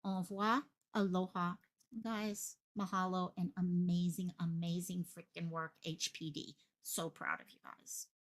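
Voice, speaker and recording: A woman's voice: lowish voice, little pitch movement, a little slow. A woman hosting her own online channel, speaking with passion, repeating phrases for emphasis and projecting her voice the way a stage actor does. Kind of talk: impassioned livestream commentary.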